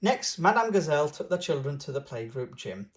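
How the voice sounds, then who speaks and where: A woman's voice: low-pitched, sing-song, brisk. A woman reading a children's storybook aloud, adding playful, simple asides for young listeners. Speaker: storybook reader and channel host.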